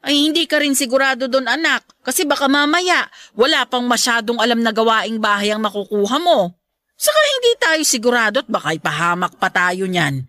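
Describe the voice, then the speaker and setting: A woman's voice: fairly high pitch, big pitch swings, brisk, bright, forceful tone, no echo, studio quality. A woman playing a protective, frugal, aging mother in a radio drama, chatty and affectionately stubborn. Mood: stubborn, wary. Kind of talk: Filipino radio drama acting.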